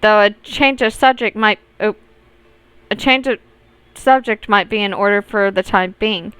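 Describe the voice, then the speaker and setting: A woman's voice: mid-range voice, fairly even intonation, a little slow, a bit bright, clean tone, dry recording, lightly acted. A woman voicing a vain young lady con artist in a visual novel, outwardly polite, poised and wry.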